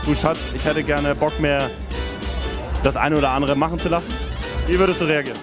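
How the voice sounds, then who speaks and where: A man's voice: on the high side, steady tone, fairly quick. A man in his thirties doing casual street interviews in a teasing, chummy, informal way. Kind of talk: casual vox-pop interviewing. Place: large busy nightclub. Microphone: handheld reporter microphone. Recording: loud club music and crowd behind.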